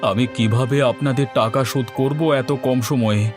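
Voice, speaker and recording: A man acts a debt-ridden merchant father in a cartoon fairy tale. He pleads in a distressed, mid-pitched voice. Faint music sits underneath. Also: steady tone, moderate pace, natural voice. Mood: pleading, distressed.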